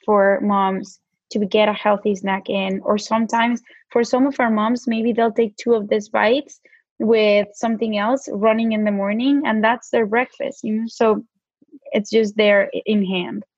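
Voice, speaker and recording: A woman's voice: mid-range voice, level tone, a little slow, dry recording, highs cut off. A woman with a Mexican accent, a guest on a podcast, talking casually and filling her speech with "like". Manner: chatty, many "like" fillers. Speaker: guest, brand co-founder.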